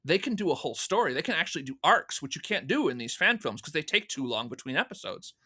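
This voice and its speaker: Bright-voiced man telling stories casually. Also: on the high side, big pitch swings, fast, husky. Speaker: podcast guest, audio drama producer. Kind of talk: casual interview storytelling.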